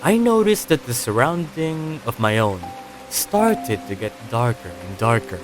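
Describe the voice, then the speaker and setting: A man narrating an audiobook story in a dramatic, measured way with pauses. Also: mid-range voice, big pitch swings. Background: music.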